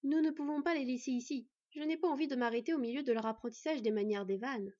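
A woman's voice: sits high, fast talker, warm tone, muffled recording. A woman voicing a bold she-wolf companion, speaking in a firm, decisive way.

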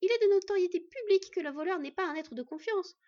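A woman voicing an excitable giantess, the smith's wife, who talks in a fussing, eager way. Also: very high-pitched, quick pace, dull audio, pitched above the performer's own voice. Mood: earnest.

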